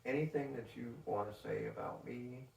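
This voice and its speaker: A man, a defense attorney, talking calmly with a client in a meeting and often repeating himself. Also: medium pitch, moderate pace, creaky. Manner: calm, repeats himself, interrupts gently. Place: office, recorded covertly. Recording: roomy, distant, noisy covert recording.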